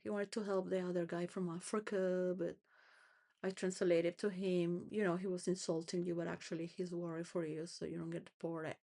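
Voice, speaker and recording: A woman's voice: fairly low pitch, little pitch movement, normal speed, dark tone. A woman rambling through a personal monologue, full of restarts and wandering thoughts, sometimes laughing between phrases. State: homeless.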